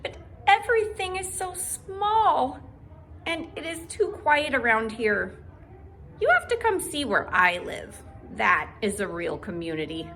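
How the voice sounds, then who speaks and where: Woman voicing a female storybook cat, city-loving, confident, emphatic, bright tone, fairly high pitch. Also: very animated, measured pace, slight background noise, full character voice. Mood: unimpressed, insistent.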